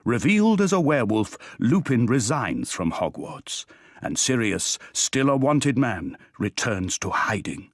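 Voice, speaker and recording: Husky, animated male story narrator. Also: mid-range voice, measured pace, dry recording. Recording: faint music behind the narration. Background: soft background music.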